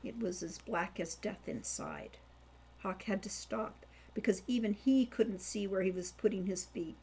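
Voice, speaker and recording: A woman's voice: medium pitch, expressive, measured pace, a little mellow, husky. A woman reading scripted fiction aloud as an audiobook narrator. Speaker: audiobook reader, author.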